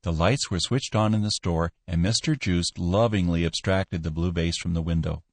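A man narrating a story as an audiobook, with expressive, polished reading. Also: low-pitched, moderate pace, no room sound.

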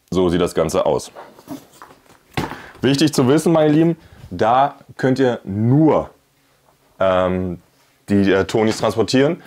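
Low-voiced man, chatty product reviewer. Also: darkish tone. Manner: chatty, hands-on demonstrating. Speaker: YouTube host, product reviewer. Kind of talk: casual product review to camera. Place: small indoor room with plain wall. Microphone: clip-on lavalier on shirt.

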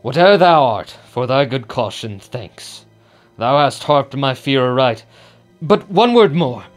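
A desperate, ruthless king, played by an actor, declaiming intensely in classical radio-play style. His delivery is slow, with a very animated pitch that rises and plunges. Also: faint music behind, close to an everyday voice. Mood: demanding, uneasy. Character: tormented, commanding warrior king, desperate and ruthless. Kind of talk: Shakespearean radio drama acting.

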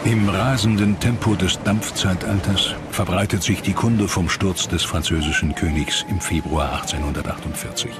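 A man's voice: sits low, moderate pace, hardly any pauses. A man giving scripted historical documentary narration as the programme's narrator. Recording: loud music under narration.

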